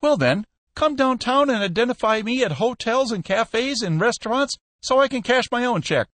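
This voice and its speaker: A man's voice: animated, normal speed, a bit bright, no echo. A man voicing an exhausted, desperate man who pleads frantically and then rages. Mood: frantic pleading. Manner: frantic, pleading, high-strung.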